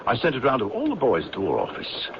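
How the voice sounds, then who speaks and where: An actor playing an evasive, chummy British government gentleman with an emphatic, chatty delivery in a 1970s radio drama. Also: medium pitch, wildly swooping pitch, fast. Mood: breezy, chummy. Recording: muffled, very noisy old radio recording.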